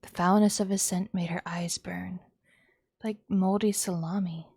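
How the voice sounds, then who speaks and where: A woman reading a visual novel aloud as dramatic storytelling, in a hushed tone. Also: lowish voice, steady tone, a little slow, gravelly, breathy edge, no echo, studio quality. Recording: music behind the voice. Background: background music.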